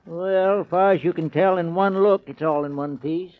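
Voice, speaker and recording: A man's voice: high voice, normal speed, natural voice. A man acting the part of a folksy, drawling rural bus driver in a 1940s radio drama. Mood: folksy, chatty. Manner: drawling rustic speech, folksy. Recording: noisy, tinny 1940s radio recording.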